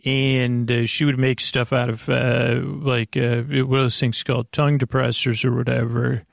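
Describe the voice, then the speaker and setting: An American man hosting a sleep podcast, talking in a meandering, hesitant, reassuring monologue with a gravelly voice. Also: mid-range voice, flat delivery, moderate pace, no echo, clean studio sound. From United States. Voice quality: creaky, gravelly. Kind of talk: rambling casual monologue.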